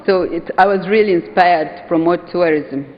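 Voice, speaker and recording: A woman from Uganda with a Ugandan accent giving an earnest, emphatic conference speech, slowing down to stress key phrases. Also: lowish voice. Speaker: conference speaker, hotel owners' association vice chair. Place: conference hall. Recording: dull sound in a reverberant hall.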